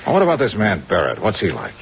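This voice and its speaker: An actor playing a shrewd, wry American investigator in an old-time radio drama, questioning in a hard-boiled way. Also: male voice, low-pitched, fast, full character voice. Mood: dry, casual. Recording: dull, noisy vintage radio recording, steady rain.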